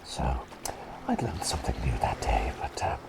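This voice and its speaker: A gentle, bumbling bird played by a man whispers in a very low, creaky voice for an ASMR roleplay. The delivery swoops up and down widely, hesitant and polite, with phrases softly repeated. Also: wildly swooping pitch, normal speed, very noisy, exaggerated voice. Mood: bashful storytelling. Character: gentle, bumbling talking bird doctor, kindly and silly.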